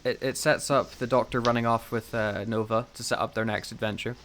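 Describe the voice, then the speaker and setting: A man's voice: mid-range voice, steady tone, brisk, a bit bright, a little hiss or hum. Jokey man chatting on a podcast. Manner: jokey, wry, laughs often. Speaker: podcast co-host. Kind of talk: casual chat reviewing audio dramas.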